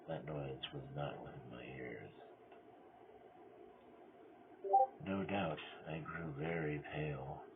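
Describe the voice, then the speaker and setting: A man playing a cunning, mad murderer who confesses in a theatrical gothic horror reading, swinging between hushed control and frenzy. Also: low voice, exaggerated voice. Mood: uneasy, agitated. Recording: tinny band-limited audio with clear noise.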